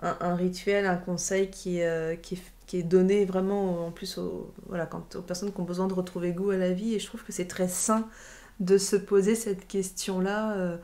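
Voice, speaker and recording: A woman's voice: fairly low pitch, level tone, normal speed, dark tone. A woman in her late thirties co-hosting a casual live stream, chatting and reacting to viewer messages. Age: late 30s. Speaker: livestream co-host. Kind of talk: casual livestream chat. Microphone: webcam livestream.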